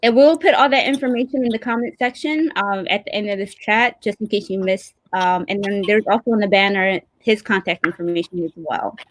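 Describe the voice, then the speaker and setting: A woman's voice: mid-range voice, fairly even intonation, brisk, hardly any pauses, low-quality recording. An American woman hosting a casual live interview in conversational speech. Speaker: host.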